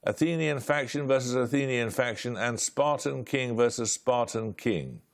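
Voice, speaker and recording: An older British man hosting a studio quiz show, reading questions in a brisk, dry, clipped way. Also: medium pitch, lively intonation, creaky. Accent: British English. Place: television studio.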